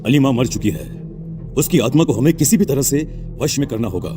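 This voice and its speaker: A man's voice: mid-range voice, animated, a little fast, music in the background, natural voice. A man voicing a stern, grave church Father in a cartoon drama, solemn and fatherly. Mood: grave, resolute. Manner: solemn, authoritative. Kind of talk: Hindi cartoon drama voice acting.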